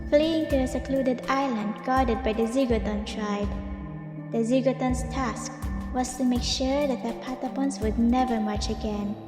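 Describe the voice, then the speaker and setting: A woman reading scripted narration of a fantasy legend in storybook style. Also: high-pitched. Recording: echoey long reverb, music behind. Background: music.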